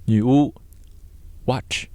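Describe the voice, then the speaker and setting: A man teaching vocabulary word by word in measured, short separated phrases, as a scripted lesson. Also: mid-range voice, steady tone, a little mellow, smooth, no echo.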